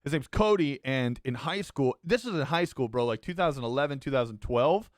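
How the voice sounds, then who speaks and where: A young adult man hosting a podcast, talking in a halting, filler-heavy way and laughing often. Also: medium pitch, animated, dry recording, clean studio sound. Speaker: podcast host. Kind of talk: casual podcast chat.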